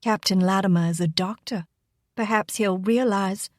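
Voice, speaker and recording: A woman voicing a small, quietly defiant younger cousin in an audiobook, speaking calmly and pointedly. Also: mid-range voice, normal speed, dry recording, studio quality, natural voice. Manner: calm, casual, pointed. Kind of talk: audiobook character acting.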